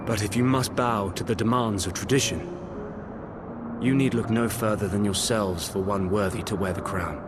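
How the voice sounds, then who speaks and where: A man's voice: fairly low pitch, moderate pace, very noisy, natural voice. A man playing a solemn, penitent noble knight prince in a fantasy video game, speaking in grave, formal, archaic oratory. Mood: solemn resolve.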